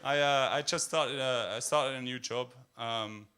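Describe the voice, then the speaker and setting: Austrian guy doing deadpan dark standup, lots of uh's and you knows, mid pitch. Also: measured pace, clean tone. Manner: deadpan, dark humor, many fillers. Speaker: stand-up comedian. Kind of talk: stand-up set. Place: comedy club stage.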